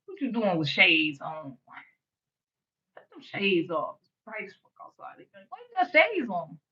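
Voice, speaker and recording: Woman in her thirties, light voice, mid-range pitch, blunt and profane, rambling stream talk, dull lo-fi audio. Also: huge pitch swings, measured pace. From US Midwest. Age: 30s. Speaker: livestream host. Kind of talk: late-night casual stream rant.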